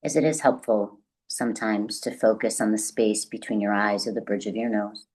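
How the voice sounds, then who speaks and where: A woman leading a guided meditation as the guide, speaking gently and deliberately in a soothing, instructional way with long pauses. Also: rumbling low, steady tone.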